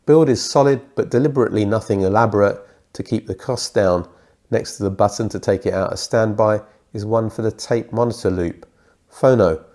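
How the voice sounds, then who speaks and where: Man, British accent, middle-aged, congested and croaky, dark mellow tone, scripted review. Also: on the low side. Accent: British English. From Britain. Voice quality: thick, croaky. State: congested from a cold.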